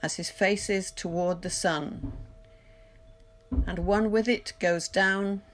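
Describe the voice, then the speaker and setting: A woman reading poetry aloud in a measured, deliberate literary recitation, pausing between phrases. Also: mid-range voice, steady tone. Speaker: poetry reader. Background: music.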